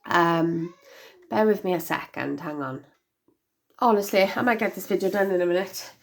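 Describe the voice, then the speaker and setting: A woman with a croaky, congested voice, chatting casually as she shows off her handmade crafts, full of ums and restarts. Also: fairly low pitch, normal speed. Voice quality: hoarse. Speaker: craft vlogger. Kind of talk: casual craft show-and-tell.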